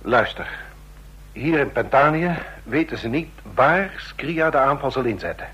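An actor in an old radio play playing a shifty, desperate secret agent who speaks with nervous, pleading intensity. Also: male voice, mid-range voice, lively intonation, unhurried, a bit bright, husky, full character voice. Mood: conspiratorial. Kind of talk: vintage Dutch radio play acting. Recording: noisy, humming old radio recording.

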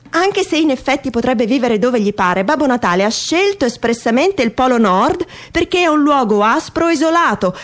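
Woman reading a wry comic monologue. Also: high voice. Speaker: stage performer reading a humorous monologue. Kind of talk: theatrical reading of a comic first-person essay.

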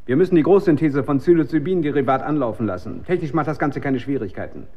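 Casting: an intense scientist unravelling in a radio play, swinging between command and haunted doubt, very expressive, dull lo-fi sound. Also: male voice, fairly high pitch, fast. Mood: agitated, insistent. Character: tormented male research chemist losing his grip on reality. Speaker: radio drama actor. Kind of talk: German radio drama acting. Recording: dull, band-limited radio recording.

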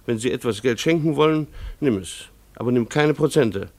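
An actor playing a harried, middle-aged schoolteacher husband in a German radio play, with dry, formal, hesitant phrasing. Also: male voice, medium pitch, animated, moderate pace, darkish tone, no echo. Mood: earnest. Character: harried, wry husband, a schoolteacher and family father.

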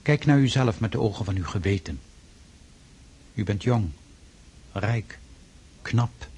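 An actor playing a stern, pious Russian freemason in a radio drama, speaking formally and insistently with a husky voice. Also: male voice, fairly low pitch, expressive, darkish tone, a little hiss or hum. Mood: solemn, preaching. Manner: formal, measured, preaching, insistent.

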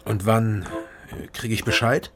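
A low-voiced, gravelly man in a radio crime drama, terse and sober as he tells his own story. He keeps things guarded and understated, with prominent music behind him. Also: steady tone, measured pace. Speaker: narrator and lead character. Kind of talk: radio crime drama, first-person narration.

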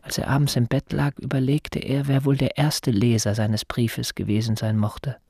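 An actor in a German radio drama narrating as a self-satisfied, secretive schoolteacher, in a precise and inward manner. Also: male voice, medium pitch, flat delivery, normal speed, creaky, mellow, dry recording, clean studio sound. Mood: smug, musing.

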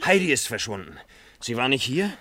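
Agitated man, animated and bright. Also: medium pitch, fairly quick, slight background noise. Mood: urgent, alarmed. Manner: urgent, pressing. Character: agitated, impulsive engaged man, a driver. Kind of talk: 1950s German radio drama acting.

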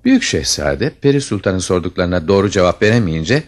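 A man's voice: deep voice, big pitch swings, brisk. A man narrating a scripted fairy tale as a storyteller, with dramatic expression.

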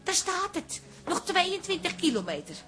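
An actress playing a cheerful, gossipy barmaid in a comic radio play, telling things in a bubbly, colloquial way. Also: female voice, very high voice, sing-song, normal speed, bright, forceful tone, exaggerated voice. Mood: amused, chatty. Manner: bubbly, giggly, colloquial storytelling. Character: cheerful, chatty, gossipy female barmaid, Toos.

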